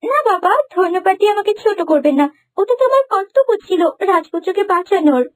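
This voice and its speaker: A woman voicing a haughty, scheming young woman in an animated fairy tale, with a shrill, imperious manner. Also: quick pace. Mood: sweetly humble.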